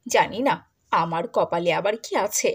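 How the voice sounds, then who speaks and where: A woman's voice: lowish voice, unhurried, slightly rough, exaggerated voice. Woman playing a cruel, theatrical middle-aged stepmother. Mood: scornful. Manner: scolding, gushing, theatrical. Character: cruel middle-aged stepmother, doting on her own daughter, scornful. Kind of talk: Bengali cartoon dubbing.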